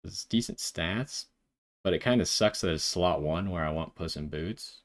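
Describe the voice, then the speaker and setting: Man, lowish voice, casual gaming commentary, thinking out loud. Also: moderate pace. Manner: thinks aloud while deciding. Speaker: gaming video host.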